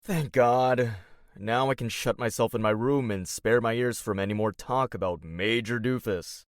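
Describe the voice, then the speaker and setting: A man's voice: medium pitch, moderate pace, clean tone, no room sound, close to an everyday voice. A man playing a sardonic, teasing twenty-two-year-old older brother in an audio drama. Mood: teasing, sarcastic. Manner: dry, teasing.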